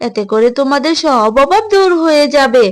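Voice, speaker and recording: A woman acts out a magical talking tree in a children's cartoon. The voice is high and feminine, with a kindly, gentle temper. It speaks like a benevolent helper of the poor. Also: expressive, moderate pace. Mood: earnest, reassuring. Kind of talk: cartoon voice acting.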